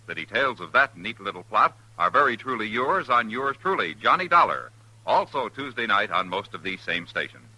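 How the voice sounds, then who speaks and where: Forceful, husky male radio announcer. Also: fairly low pitch, very animated, normal speed, rough recording. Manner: dramatic, polished delivery. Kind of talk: 1950s radio announcing, scripted promo.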